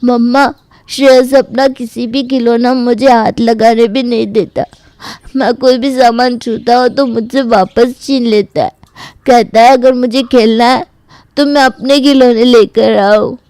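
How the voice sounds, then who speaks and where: Woman doing a high-pitched whining little boy. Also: steady tone, a little slow, darkish tone, no room sound. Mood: whining, upset.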